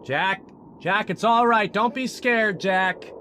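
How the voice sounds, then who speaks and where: A man's voice: high-pitched, takes it slowly, sharp tone, a little hiss or hum, full character voice. A man playing an anxious young man who calls out pleadingly to his partner in the snow, in an old-time radio drama. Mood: pleading, reassuring. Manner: pleading, calling out.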